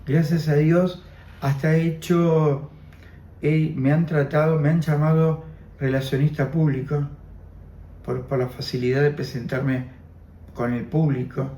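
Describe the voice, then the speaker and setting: An older man in his seventies speaking Spanish with an Argentine accent, Armenian being his first tongue. He talks slowly and reflectively, circling back over his own phrases, in a mellow, slightly raised voice. The room around him is echoey. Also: on the high side, level tone. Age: 70s. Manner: slow, reflective, repeats phrases. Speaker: interviewee. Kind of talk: oral history testimony.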